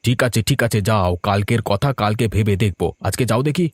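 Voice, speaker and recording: A man's voice: on the low side, a little fast, dry recording, studio quality, close to an everyday voice. A man voicing a stingy, irritable middle-aged father in a cartoon, curt and grumbling. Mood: grudging. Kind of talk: cartoon voice acting.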